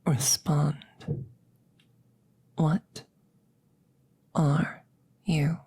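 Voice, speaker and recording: A woman voicing a formal, curious alien examiner in an ASMR roleplay, soft and breathy, often whispering, with precise clinical phrasing. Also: low-pitched, little pitch movement, drawn-out words, full character voice. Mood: clinical, hushed. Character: formal, curious female alien examiner interviewing a rescued creature.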